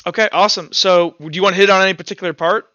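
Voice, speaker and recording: A man's voice: high voice, steady tone, rapid-fire, sharp tone, dry recording, dull audio. A man acting as game master, mixing casual rules talk with informal, vivid narration of combat in a tabletop roleplaying game.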